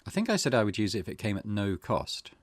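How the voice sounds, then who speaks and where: Deep-voiced man reading a game aloud. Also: very animated, quick pace, no room sound. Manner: reads game text aloud, breaking off for casual asides. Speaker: gaming channel host. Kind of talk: reading a visual novel aloud with commentary. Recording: game music playing behind.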